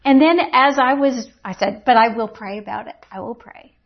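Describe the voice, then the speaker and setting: Middle-aged woman Bible teacher with a fairly high voice, earnest and reflective, retelling her faith story on a muffled lo-fi recording. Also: normal speed. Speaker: women's Bible teacher and speaker. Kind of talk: personal faith testimony. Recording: dull lo-fi recording.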